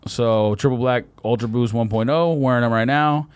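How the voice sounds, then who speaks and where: A man's voice: medium pitch, moderate pace, clear, resonant tone, no room sound. An American man hosting a casual podcast, chatting and teasing his friends in slangy talk. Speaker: podcast host. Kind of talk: casual banter among friends about sneakers.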